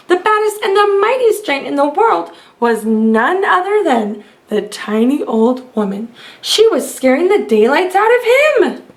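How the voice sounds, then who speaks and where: Woman reading a kids story, high voice, super animated, asks questions. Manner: addresses children with questions. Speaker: storyteller for children. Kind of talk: expressive children's read-aloud narration.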